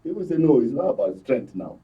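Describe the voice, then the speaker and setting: A man's voice: medium pitch, sing-song, fairly quick. Nigerian male commentator, slightly muffled and distant. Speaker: panel guest, political commentator. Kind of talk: political analysis in a studio discussion.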